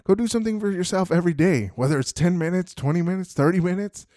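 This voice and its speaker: A young adult man with a deep voice hosting his own podcast, giving upbeat motivational tips and often tagging lines with "right?" and "you know". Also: quick pace, mellow, dry recording, studio quality. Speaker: podcast host. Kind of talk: motivational talk.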